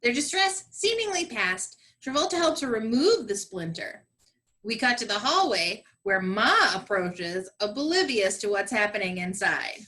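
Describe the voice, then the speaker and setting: A woman's voice: on the high side, animated, measured pace, bright, forceful tone. A woman narrating a comedic scripted radio play with sassy, dramatic delivery.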